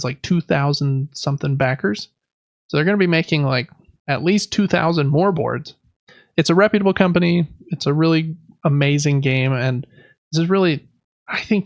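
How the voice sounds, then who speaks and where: Enthusiastic man, slightly creaky voice. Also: fairly high pitch, no room sound, muffled recording. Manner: enthusiastic, informal. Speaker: podcast host. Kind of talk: casual board game podcast chat.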